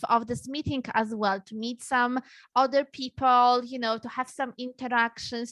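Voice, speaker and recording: Slow-speaking woman hosting a webinar. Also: on the high side, dry recording. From Poland. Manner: slow, friendly, with frequent fillers and soft laughs. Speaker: dating coach hosting a webinar. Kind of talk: live webinar presentation. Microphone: computer mic on a video call.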